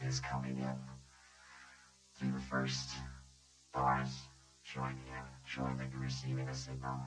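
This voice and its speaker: A man presenting a casual software demonstration with his voice run through a synthetic, robotic vocoder. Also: a bass voice, poor audio. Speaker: tutorial presenter. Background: electronic synthesizer tones.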